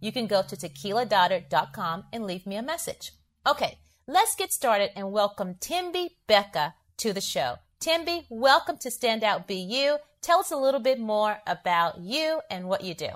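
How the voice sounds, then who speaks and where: A woman's voice: medium pitch, sing-song, normal speed, a bit bright. A woman hosting a podcast, reading an upbeat prepared welcome and introduction of her guest. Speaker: podcast host.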